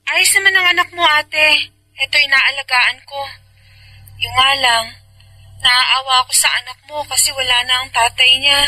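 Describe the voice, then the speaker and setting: A woman's voice: sits high, moderate pace, pushed and bright, poor audio. A woman playing a caring, frank younger sister in a Filipino radio drama. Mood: somber, reproachful. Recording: music behind the voice.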